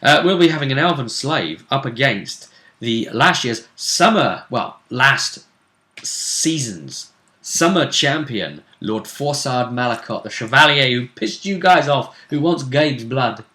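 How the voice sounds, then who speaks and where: A man with a bright, forward voice acts as game master at a tabletop session. His delivery is casual and halting as he deliberately lays out the game for his players. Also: medium pitch, lively intonation, reverberant.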